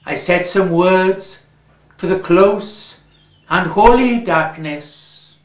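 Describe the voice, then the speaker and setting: A man with a Welsh accent reading a story aloud, measured and lingering on his words. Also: sits high. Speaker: reader. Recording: roomy echo.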